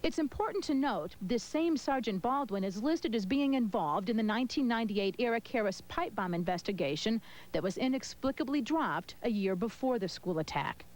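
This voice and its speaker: A woman's voice: on the high side, expressive, moderate pace, a little mellow, rough recording. A woman with an American accent delivering a broadcast news report as a television reporter.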